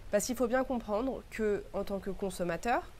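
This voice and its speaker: A young woman with a cold talks to her viewers, her nose clearly stuffed up. She thinks out loud in an earnest way and stacks up words one after another. A faint hiss of background noise sits under her voice. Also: mid-range voice, normal speed. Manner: thoughtful, earnest, lists words in a row. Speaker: beauty vlogger. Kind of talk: casual reflective talk to camera.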